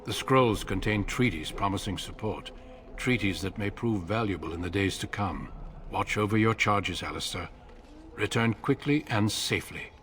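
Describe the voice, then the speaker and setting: A man plays the commander of a warrior order in a fantasy game. His voice is fairly low, and he gives orders with measured, formal gravity. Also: fairly bright tone, slight background noise, lightly acted. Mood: solemn. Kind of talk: video game voice acting.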